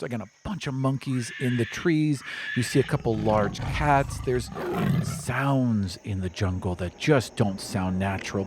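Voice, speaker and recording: A man narrating a tabletop roleplaying game as game master in a casual, descriptive way. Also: medium pitch. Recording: faint insect noise behind the voice.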